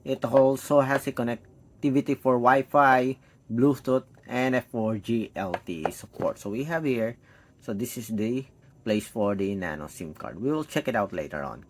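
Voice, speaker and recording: A man's voice: medium pitch, normal speed, a little hiss or hum. A man giving an unscripted, step-by-step hands-on product demo, thinking aloud as he tests. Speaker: tech product reviewer.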